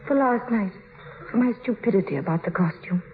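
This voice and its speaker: A woman's voice: mid-range voice, lively intonation, a little fast, slight background noise, natural voice. A woman playing an anxious, devoted young wife in a 1950s radio drama, with an earnest, pleading delivery. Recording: narrow-band vintage radio recording with music.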